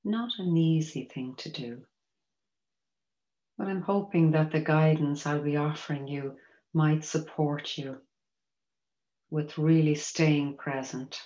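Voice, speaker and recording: Low-voiced woman guiding a meditation. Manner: gentle, measured, invitational. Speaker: mindfulness teacher guiding a body scan. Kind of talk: guided meditation instruction. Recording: roomy echo, dull muffled sound.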